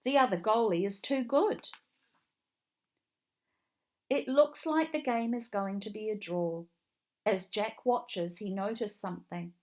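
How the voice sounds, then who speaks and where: A woman narrates a children's storybook as a read-along. She takes it very slowly and deliberately, with expressive phrasing and a mid-range pitch.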